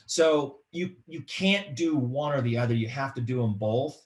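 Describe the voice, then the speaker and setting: Casual middle-aged American man explaining things. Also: medium pitch. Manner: casual, halting, repeats words. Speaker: webinar guest presenter, marketing expert. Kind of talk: informal explanatory webinar talk. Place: home room, indoors.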